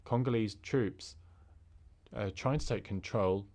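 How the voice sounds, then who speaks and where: A man interpreting a formal speech into English, halting, with fillers and restarts. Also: lowish voice, a little mellow.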